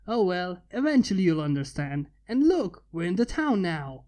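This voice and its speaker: A man's voice: high-pitched, sing-song, moderate pace, a little mellow. A man voicing a cocky, teasing inventor in a story, with a casual, taunting manner. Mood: sheepish reassurance. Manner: taunting, casual, mock-menacing. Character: cocky, teasing human male inventor with armored bodyguards.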